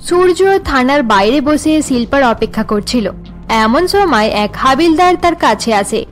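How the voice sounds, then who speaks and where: High-pitched woman narrating a cartoon story. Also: expressive, measured pace, soft background music.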